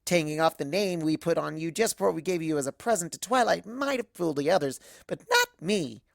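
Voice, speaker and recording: Woman putting on an excitable, swooping cartoon pony girl. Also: on the low side, brisk, gravelly, no echo, studio quality. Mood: dramatic, scatterbrained. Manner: chirpy, gushing, childlike phrasing. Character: excitable, silly, bubbly young female cartoon pony. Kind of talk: first-person cartoon fan fiction narration.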